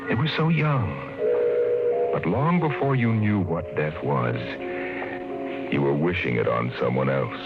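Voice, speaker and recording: A man narrating a 1950s radio drama in a measured, dramatic way. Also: a bass voice, lively intonation, over loud music. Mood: solemn. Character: grave adult male radio narrator. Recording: dull old radio broadcast.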